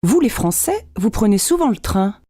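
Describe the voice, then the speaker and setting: Mellow-voiced woman reading dialogue lines. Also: medium pitch, very animated, dry recording. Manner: clear, deliberate delivery for learners. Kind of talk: scripted learner dialogue.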